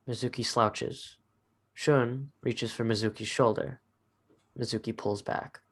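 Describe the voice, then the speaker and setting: A man's voice: mid-range voice, a bit bright. A man reading stage directions as the narrator of a scripted drama.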